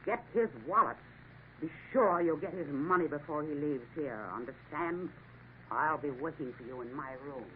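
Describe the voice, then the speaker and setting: A woman's voice: deep voice, normal speed, background noise, natural voice. A woman playing a scheming proprietor of a wicked club, cold and commanding, in a 1950s radio drama. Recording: narrow lo-fi 1950s radio broadcast.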